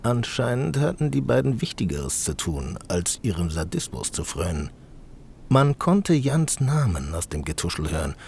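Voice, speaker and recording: Male narrator, fantasy audio drama. Gravelly, widely swinging delivery with a sardonic theatrical edge. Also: lowish voice, big pitch swings, normal speed, darkish tone, a little hiss or hum.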